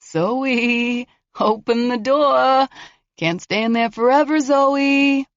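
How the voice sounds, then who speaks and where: An unhinged male killer taunts through a locked door, put on by a woman reading a horror story. He is mocking and sing-song at first, then drops to hushed threats. The recording is dull and lo-fi, with no room sound. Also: fairly high pitch, slow pace, dry recording, voice pushed up. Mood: taunting.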